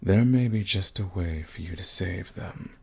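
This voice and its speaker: An actor playing a suave, sadistic vampire lord in an ASMR roleplay, with a theatrical, silky, condescending manner and a husky, gravelly voice. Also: male voice, low-pitched, full character voice. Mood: smooth, bargaining. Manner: theatrical, silky, condescending endearments, drawn-out phrasing. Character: suave sadistic male vampire lord, predatory, mocking, possessive.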